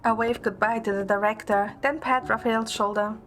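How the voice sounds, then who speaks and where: A woman's voice: a little high, steady tone, normal speed, fairly bright tone, soft background music. A woman reading scripted first-person narration and dialogue as the heroine of an audio drama. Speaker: audio drama narrator, also playing the young producer heroine.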